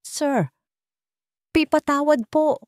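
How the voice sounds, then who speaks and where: A woman's voice: high-pitched, takes it slowly, a little mellow, no echo, clean studio sound, pitched above the performer's own voice. Woman acting a frightened, pleading maid. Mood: terrified, pleading. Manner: pleading, submissive. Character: frightened, tearful young maid begging for mercy.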